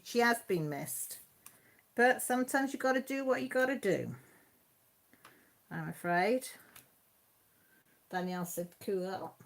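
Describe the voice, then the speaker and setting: A woman with a Mid Wales Radnorshire accent, hosting a casual live chat on her channel, reading out comments and laughing often. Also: fairly low pitch. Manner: chatty, homely, laughs often. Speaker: YouTube channel host.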